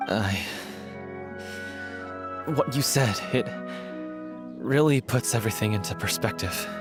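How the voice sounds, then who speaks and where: A man's voice: on the low side, slow pace, over loud music. An actor voicing a hot-headed, grieving stage performer who has lost his love, in a dramatic game dub style. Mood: subdued. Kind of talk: English game dub voice acting.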